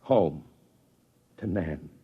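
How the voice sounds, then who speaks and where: A man's voice: low voice, expressive, drawn-out words, full character voice. An actor playing a desperate, driven reporter who tells his own story in an intense 1950s radio drama style. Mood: tense. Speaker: actor narrating and playing the lead. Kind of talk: 1950s radio drama acting, first-person narration. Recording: dull 1950s radio recording with music.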